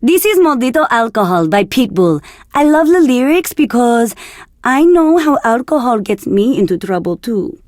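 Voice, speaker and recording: Flirtatious radio DJ from Argentina for a game: high, breathy, teasing, very dry close sound. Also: female voice, big pitch swings, normal speed, a little mellow, no room sound. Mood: flirty, playful. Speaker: radio DJ host. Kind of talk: scripted radio DJ patter for a video game.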